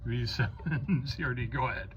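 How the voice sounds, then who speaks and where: A man's voice: mid-range voice, moderate pace, a bit bright. A man acting as net controller on a ham radio roll call, calling stations in informal procedural patter with some hesitation. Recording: muffled lo-fi audio with clear noise.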